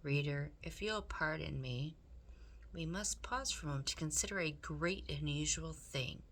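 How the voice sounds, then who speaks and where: A woman narrating a children's novel aloud in an expressive read-aloud style, as a teacher would. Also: low voice, normal speed.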